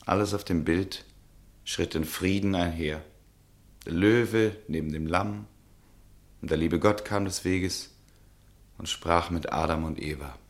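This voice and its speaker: An actor playing an anxious, resigned nobleman and husband, speaking in earnest, measured, formal German radio drama style. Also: low voice. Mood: pensive. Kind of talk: German radio play acting.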